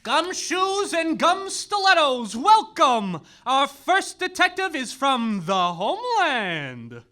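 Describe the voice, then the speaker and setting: A performer plays the emcee of a slam night in a live theater comedy. The voice is bright and the pitch swings widely. Each announcement is drawn out slowly and showily. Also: very animated. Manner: slow, showy announcer delivery, then a whispered noir monologue. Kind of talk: live staged comedy acting.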